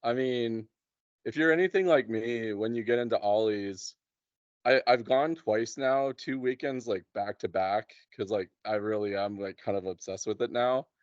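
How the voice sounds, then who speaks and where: A man's voice: on the low side, moderate pace, dry recording. Chatty man, slightly muffled dry sound. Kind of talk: casual chat.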